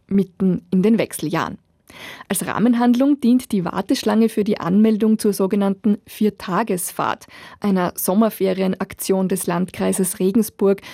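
A woman reading scripted TV report narration in an even, measured way. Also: medium pitch, creaky, dry recording, clean studio sound.

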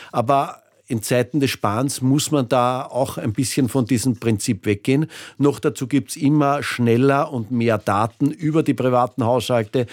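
A man explaining things in a measured way as an expert guest in a radio interview. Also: medium pitch, dry recording, studio quality. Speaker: economist, studio guest.

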